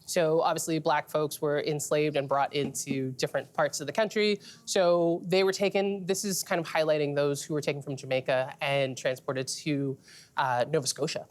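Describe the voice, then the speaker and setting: A woman's voice: low voice, moderate pace. A woman from Trinidad with a Trinidadian accent, talking casually as a guest about the beers being tasted. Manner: explanatory, relaxed, with fillers. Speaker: beer expert guest. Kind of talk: casual tasting chat.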